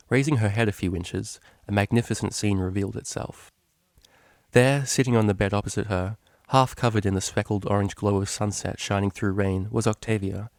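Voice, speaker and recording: A man's voice: on the low side, a little mellow, dry recording, clean studio sound. A man reading a story aloud as scripted narration. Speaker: story reader.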